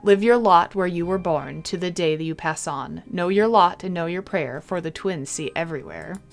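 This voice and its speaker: A woman's voice: fairly low pitch, moderate pace, creaky. A woman voicing an earnest young big sister in a storybook game, explaining and reciting in a simple, singsong way. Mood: solemn singsong. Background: game music.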